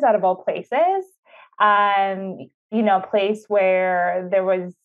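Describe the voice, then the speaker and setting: A woman in her thirties talks through her career in a relaxed, chatty way, with plenty of "uh"s and easy laughs. Her voice sits at a middle pitch. The sound is thin and phone-like, as on a video call. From Ecuador. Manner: chatty, many fillers, laughs easily. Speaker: city sustainability official, podcast guest. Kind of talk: casual interview answers.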